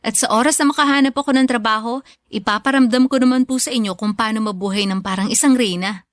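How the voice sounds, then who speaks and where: A woman's voice: on the high side, fast talker, dry recording, clean studio sound, natural voice. A woman playing a devoted, respectful young Filipino graduate in a radio drama, speaking sweetly and politely to a parent.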